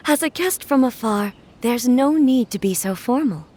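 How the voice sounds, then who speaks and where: A woman voicing a calm, dignified goddess who rules a nation in a video game, speaking in a measured, formal way. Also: fairly high pitch. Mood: gracious. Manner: measured, stately, gracious. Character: calm, dignified female deity ruler, composed and formal. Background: game music.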